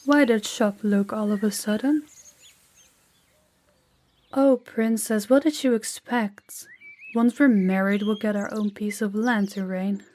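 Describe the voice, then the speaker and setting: A woman playing a gentle, candid young princess in a roleplay audio drama, speaking softly and confidingly to the listener. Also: medium pitch, fairly even intonation, slow pace, pauses often, natural voice. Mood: frank, reassuring.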